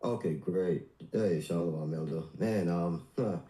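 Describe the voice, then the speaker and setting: A man's voice: low-pitched, a little slow, mellow, reverberant. A man in his forties from Guyana, calling in to a church livestream and telling his story with deference. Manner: deferential, says sir often. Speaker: caller, church member. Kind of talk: casual call-in testimony.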